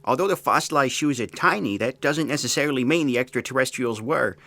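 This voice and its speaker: Guy acting as a grumpy disgraced geologist in a comedy audio drama, medium pitch, sarcastic and kinda pedantic. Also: a little fast, vocal fry, bright, forceful tone. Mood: pedantic, earnest. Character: irritable, disgraced geologist, a grown son.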